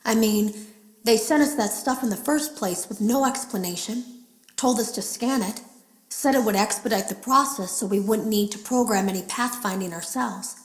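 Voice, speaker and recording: An actress playing an anxious female game developer who leaves hidden audio logs, confiding in a hushed, worried way. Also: mid-range voice, moderate pace, natural voice. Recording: roomy echo.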